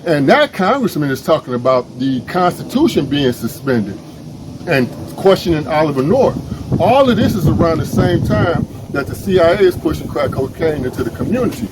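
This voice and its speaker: A man's voice: a little high, very animated, normal speed, heavy background noise. An American man giving an informal, earnest and urgent video address to his community as an organizer, repeating himself for emphasis. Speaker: emergency preparedness and ham radio organizer. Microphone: phone.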